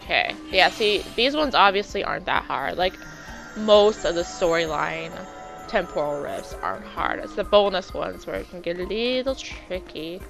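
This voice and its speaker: A woman's voice: on the low side, lively intonation, normal speed, vocal fry, over music. A woman with a sore throat, hosting a let's play in chatty, rambling, casual gameplay commentary.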